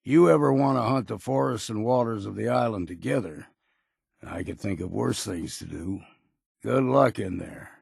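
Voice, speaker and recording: A gravelly, gruff old wilderness guide. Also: male voice, on the low side, darkish tone, no echo, clean studio sound, full character voice. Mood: gruffly friendly. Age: older. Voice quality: gravelly, husky. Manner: curt, folksy, grudging. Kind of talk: video game character dialogue.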